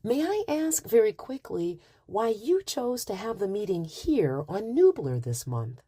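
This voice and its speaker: Female HR officer in radio play: mellow, very animated pitch, pointedly polite. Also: measured pace, husky, dry recording, clean studio sound. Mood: politely probing. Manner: effusively courteous, formal, pointed. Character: polished, probing female human resources officer.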